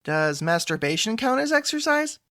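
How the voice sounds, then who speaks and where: A man's voice: high voice, sing-song, normal speed, bright, forceful tone, exaggerated voice. A man playing an earnest, awkward, chatty sidekick hero in an audio drama. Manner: chatty, earnest, self-effacing.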